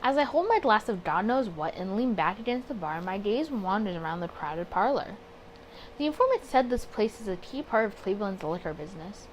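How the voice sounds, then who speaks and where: Chatty woman gamer, clearly noisy recording. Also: mid-range voice. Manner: chatty, expressive, reacting and reasoning aloud. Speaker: gaming video creator. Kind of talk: casual playthrough commentary mixed with reading story text aloud.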